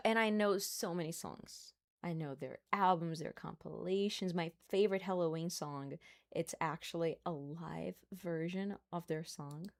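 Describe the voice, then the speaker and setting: A young woman with a Brazilian accent chats casually on her live stream, her voice sitting a little low. She talks playfully, laughs often and throws in asides.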